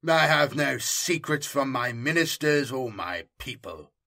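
A man acts out an imperious planetary governor for a science fiction audiobook. He is proud and guarded, with stately, formal speech. His tone is bright and forceful. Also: medium pitch, lively intonation, a little slow. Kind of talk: audiobook character dialogue.

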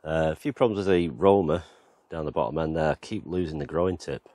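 Very deep-voiced man touring his garden. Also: fairly quick, no room sound. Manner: relaxed, rambling, lots of fillers. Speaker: gardening vlogger. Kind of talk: casual walk-around garden tour. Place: outdoors in an allotment and back garden.

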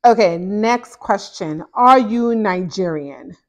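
A chatty woman in her thirties, originally from Ghana, answers viewer questions in a relaxed, animated way. She talks to camera as a therapist host, close on a lapel mic in a small indoor room. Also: mid-range voice, takes it slowly. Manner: chatty, animated, gestures a lot while talking. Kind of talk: casual Q&A chat to camera. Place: small indoor home office.

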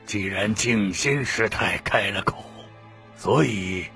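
A man's voice: lowish voice, takes it slowly, vocal fry, sharp tone, soft background music, heavily acted. A man playing a sly old cult member in an audiobook, drawling and mockingly polite. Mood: condescending. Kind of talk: dramatic audiobook acting.

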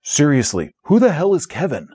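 A man's voice: on the high side, wildly swooping pitch, moderate pace, a little mellow, husky, pitched above the performer's own voice, heavily acted. A man playing an indignant, confrontational gamer in a comedy skit, complaining incredulously. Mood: incredulous, offended. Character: indignant male tabletop gamer, aggrieved and confrontational.

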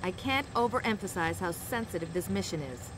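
A woman's voice: lowish voice, animated, fairly quick, music in the background, natural voice, poor audio. A woman playing an earnest, businesslike field agent briefing a colleague in a video game. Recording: idling car engine behind.

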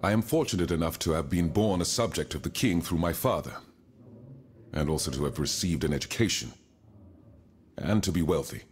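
Casting: actor as dignified planter-reformer, very low voice, grave, eloquent period game drama, some ambient noise. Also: male voice, a little fast, long pauses, natural voice. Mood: solemn, explanatory. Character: dignified wealthy colonial planter and earnest reformer, proud.

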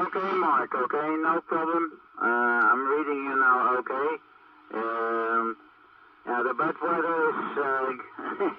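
A man chatting hesitantly as a CB radio operator, over a radio transmission. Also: medium pitch. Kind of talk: casual two-way radio chat. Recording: noisy, muffled, distant CB radio signal.

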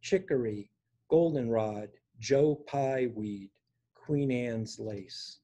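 A man in his sixties reading his own poems for an online open mic, with a measured, deliberate delivery. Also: mid-range voice, mellow. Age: 60s. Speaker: poet reading own work. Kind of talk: poetry reading at a virtual open mic, with informal introductions. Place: small room indoors at home.

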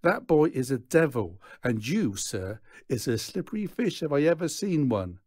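A man's voice: mid-range voice, very animated, moderate pace, darkish tone, dry recording, clean studio sound, voice pushed up. A man voicing a pompous, suspicious male gang boss in a children's storybook, blustering emphatically.

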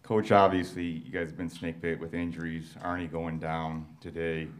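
A man's voice: low-pitched, little pitch movement, normal speed. A man asking a question as a reporter at a press conference.

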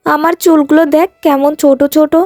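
A woman's voice: high-pitched, level tone, brisk, a little mellow, dry recording, soft background music. A woman voicing a modest, sensible young village friend in a cartoon moral tale. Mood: admiring, wistful.

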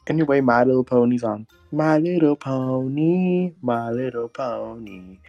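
Slow-talking man with sassy remarks. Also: mid-range voice, gravelly, warm tone, dry recording, muffled recording. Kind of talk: improvised video game roleplay chat. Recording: faint background music.

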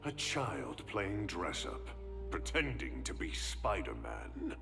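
A deep, gravelly crime boss. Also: male voice, wildly swooping pitch, exaggerated voice. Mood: menacing. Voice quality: deep, slow, gravelly and contemptuous. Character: menacing hulking male crime boss, cold and cruel. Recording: game cutscene with music underneath.

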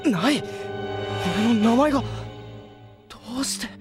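A man's voice: very high-pitched, slow pace, loud music behind the voice. An actor playing a determined, proud young man training to be a knight in an anime audio drama. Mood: shocked.

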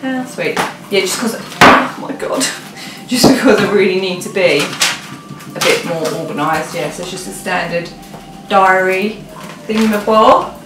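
A woman's voice: medium pitch, a little slow, heavy background noise. A young British woman chatting casually and informally, thinking aloud as she vlogs. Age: young adult. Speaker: vlogger. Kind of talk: casual chatty haul vlog. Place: living rooms at home. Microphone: camera a few feet away. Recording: roomy, distant mic, music behind.

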